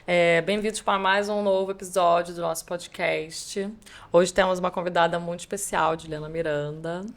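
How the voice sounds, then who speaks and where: A woman's voice: fairly low pitch, a bit bright. A woman co-hosting a podcast, welcoming listeners and introducing the guest point by point. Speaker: podcast co-host.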